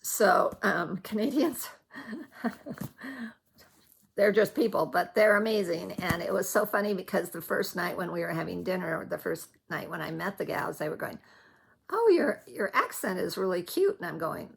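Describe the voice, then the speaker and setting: A middle-aged woman from Colorado speaks with a Midwestern American accent in a fairly low register. Her voice is husky, with plenty of creak. She chats informally like a hobby vlogger, wandering through her thoughts and doubling back as she goes. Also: steady tone, a little slow. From Colorado, United States. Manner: rambling, often backtracks mid-thought. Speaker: needlework vlogger. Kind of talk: casual chatty vlog recap.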